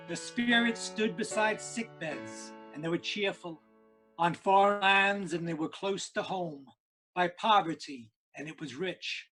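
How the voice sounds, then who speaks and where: A solemn storyteller played by a man narrates a Victorian ghost tale in a high-pitched voice. The phrasing is measured, theatrical and eerie, with faint music behind it. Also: rough recording. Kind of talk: dramatic scripted narration.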